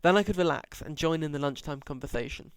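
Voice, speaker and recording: A man's voice: a little high, fast, clean tone, no echo. A man narrating an audiobook as an amateur reader, sarcastic, with mocking, exaggerated emphasis.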